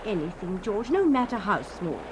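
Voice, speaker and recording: A woman's voice: mid-range voice, big pitch swings, normal speed, background noise, natural voice. An actress playing a doting, fretful wife in a radio comedy-drama, overwrought and gushing, smothering her husband with emphatic devotion. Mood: tearful devotion.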